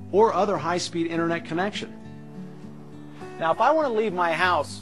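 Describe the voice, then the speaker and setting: High-pitched American pitchman over music. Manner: emphatic, persuasive. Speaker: infomercial presenter. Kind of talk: scripted sales pitch to camera. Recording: music bed behind the voice.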